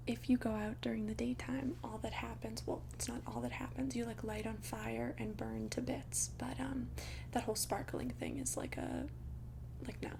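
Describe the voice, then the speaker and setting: A woman voicing a friendly, considerate young vampire in a chatty ASMR roleplay, speaking in a soft, breathy half-whisper. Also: fairly high pitch, steady tone, normal speed, background noise. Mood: amused, explanatory. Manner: chatty, hesitant, reassuring, casual. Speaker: ASMR performer.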